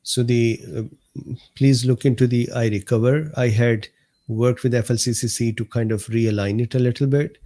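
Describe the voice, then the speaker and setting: A man hosting a casual live medical talk stream, slightly hoarse, unhurried, laughing often and answering viewers by name. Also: medium pitch, fairly even intonation, dark tone. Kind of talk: casual livestream chat reading viewer comments.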